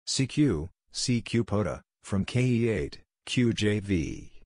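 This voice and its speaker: Guy w a fairly low voice reading out radio call signs word by word, clipped, really dry sound. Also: animated, takes it slowly, darkish tone, no echo. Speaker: narrator of Morse code practice exchanges. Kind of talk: scripted read-out of call signs and radio exchanges.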